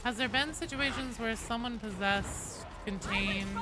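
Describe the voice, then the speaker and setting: A woman's voice: on the high side, unhurried, over music. A woman chatting casually as a game streamer, informal and sweary, joking dryly with her viewers. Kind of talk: casual chat with viewers, reading and answering comments.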